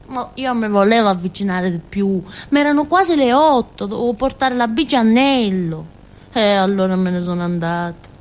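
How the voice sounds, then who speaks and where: A woman's voice: mid-range voice, lightly acted. An actress playing a naive, simple-minded young village woman in a theatrical monologue, with a southern Italian Calabrian accent and a rambling, childlike way of talking full of little grunts. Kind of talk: theatrical first-person monologue in colloquial dialect-tinged Italian.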